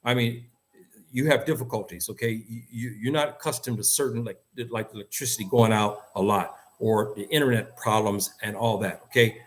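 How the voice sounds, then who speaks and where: A man's voice: lowish voice, steady tone, moderate pace. Insistent American man speaking his mind. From United States. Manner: emphatic, insistent, repeats phrases for stress. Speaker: host and commentator. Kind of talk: opinionated, unscripted talk.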